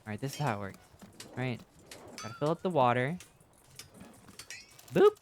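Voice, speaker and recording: A streamer in their thirties chatting casually over a video game, profane and slangy, with a self-deprecating, animated way of riffing. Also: mid-range voice, moderate pace, noisy background. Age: 30s. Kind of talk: casual livestream chat over gameplay, reading and answering viewer comments. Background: game music.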